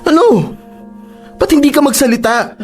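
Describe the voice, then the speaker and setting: A man's voice: very high-pitched, normal speed, darkish tone. A man acting as an affectionate young college student and jilted boyfriend in an emotional, pleading Filipino radio drama style. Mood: confused, demanding. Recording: music behind the voice.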